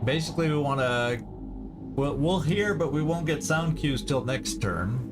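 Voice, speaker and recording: A man giving casual commentary on a strategy game, thinking aloud in a deliberate way as he plays. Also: on the high side, animated, noisy background. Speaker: gaming video host. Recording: game music behind the voice. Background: video game music.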